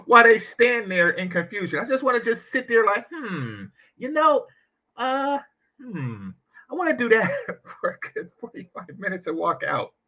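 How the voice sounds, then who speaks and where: A man hosting a reaction commentary, talking in an animated, sarcastic way with mocking asides. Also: high voice, normal speed, dull audio. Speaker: commentary channel host.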